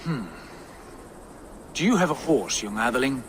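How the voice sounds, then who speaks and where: An actor playing a commanding Viking chieftain giving brisk orders. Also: male voice, mid-range voice, lively intonation, slight background noise, close to an everyday voice. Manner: authoritative, brisk.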